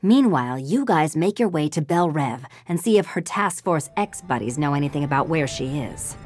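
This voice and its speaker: Streetwise villainess, determined, lowish lively voice, game cutscene w music. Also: female voice, moderate pace, no echo. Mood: urgent, determined. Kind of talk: animated video game voice acting. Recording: music behind.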